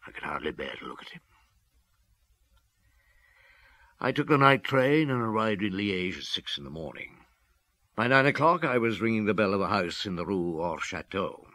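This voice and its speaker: A reflective male detective tells of an old case in a radio play. His deep voice moves expressively up and down as he muses, recorded close and dry. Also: very animated, brisk, sharp tone, no echo. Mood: musing, sombre. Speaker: detective narrator. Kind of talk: old radio drama storytelling.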